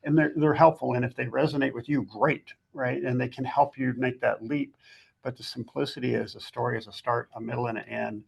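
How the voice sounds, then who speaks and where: The husky, heavily creaking man you would hear as guest author at a writers' workshop, laying out advice plainly. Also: mid-range voice, fairly even intonation, a little fast, muffled recording. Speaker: guest fiction author giving writing advice. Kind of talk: conversational advice talk.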